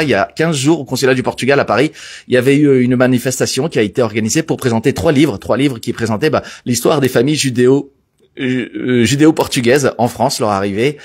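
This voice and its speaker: Husky-voiced man hosting a live show. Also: mid-range voice. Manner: relaxed, chatty, frequent fillers. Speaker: host of a live magazine show. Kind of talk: casual live broadcast chat.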